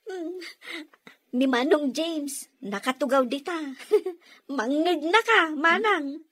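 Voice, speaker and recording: A woman's voice: high voice, lively intonation, slow pace, fairly bright tone, exaggerated voice. A woman playing a chatty, cheeky younger sister in a radio comedy drama. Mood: playful.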